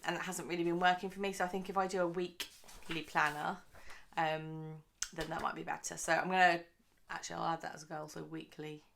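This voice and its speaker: Chatty, slightly croaky woman vlogger. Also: fairly low pitch, a bit bright. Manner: chatty, rambling, many fillers. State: a little croaky from early morning. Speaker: budgeting channel host. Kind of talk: casual chatty monthly budget vlog.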